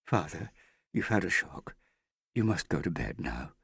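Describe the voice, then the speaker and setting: A man voicing a distraught young woman who pleads anxiously, in a higher, strained voice. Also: sing-song, measured pace, creaky, a bit bright, breathy edge, no echo, heavily acted. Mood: pleading.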